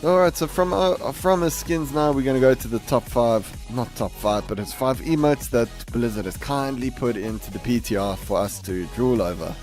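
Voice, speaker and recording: A man hosting a gaming video with casual, rambling commentary, halting and correcting himself as he goes. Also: mid-range voice, a little mellow, faint music behind. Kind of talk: casual game showcase commentary. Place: voice-over on game capture.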